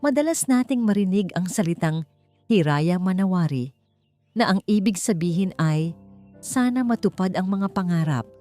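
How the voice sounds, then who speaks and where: A woman voicing an earnest young female graduate with a firm, heartfelt, measured delivery in an audiobook. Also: fairly low pitch, lively intonation, warm tone, natural voice. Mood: solemn, hopeful. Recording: music bed under the voice.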